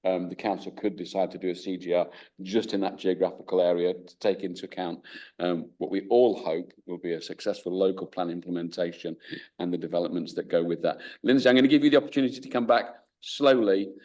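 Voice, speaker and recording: A man chairing a council committee meeting, speaking haltingly with many restarts. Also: low-pitched, fairly even intonation, a little mellow, far from the mic. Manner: halting, full of restarts and fillers.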